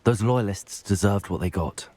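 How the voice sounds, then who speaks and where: Man playing composed, low student wizard. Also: moderate pace, dark tone, lightly acted. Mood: measured. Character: level-headed, inquisitive teenage student wizard hero. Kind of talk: video game dialogue acting.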